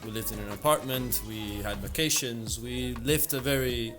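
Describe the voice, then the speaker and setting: Venezuelan-accented man chatting relaxed. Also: medium pitch, over music. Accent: Venezuelan Spanish. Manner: relaxed, laughs easily, trailing pauses. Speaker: guest entrepreneur. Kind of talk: casual interview.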